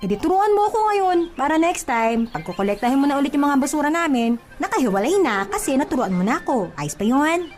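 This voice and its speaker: Woman acting a playful young housemaid, high voice, very animated swoops, Filipino accent, teasing radio-drama delivery. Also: quick pace, few pauses, soft background music. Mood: pleading, teasing. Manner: teasing, exclaiming, talking to herself.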